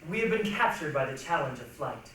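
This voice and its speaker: A woman's voice: sits low, big pitch swings, fairly quick, fairly bright tone. A woman performing a solemn recited reading as part of a readers' theatre group. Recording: noisy echoey hall, distant mic.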